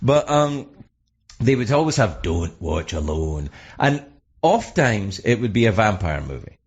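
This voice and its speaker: A man with a Glasgow Scottish accent hosting a comedic radio show, rambling through jokes with deadpan asides. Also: fairly low pitch, measured pace, breathy edge. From Scotland.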